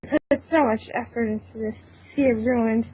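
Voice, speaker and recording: Thin phone-line sound, high-voiced woman narrator. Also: fairly even intonation, moderate pace, a little hiss or hum. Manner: informal, breaks into giggles, stumbles over lines. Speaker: narrator of a fan fiction reading. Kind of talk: scripted story reading with giggly ad-libs.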